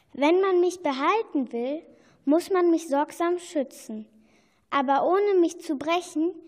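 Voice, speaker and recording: A woman playing a kindly, encouraging sorceress in a children's radio play, speaking in an instructive way. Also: high voice. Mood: mysterious. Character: kindly female sorceress, encouraging riddle-giver to two prisoners. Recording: long reverb, music behind.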